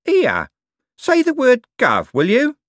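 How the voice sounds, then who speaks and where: Audiobook role: male reader as a rough, distrustful hostler, pitched high, blunt and slangy, with swooping delivery. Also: huge pitch swings, takes it slowly, fairly bright tone, dry recording, clean studio sound, heavily acted. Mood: suspicious. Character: suspicious, rough working-class male hostler.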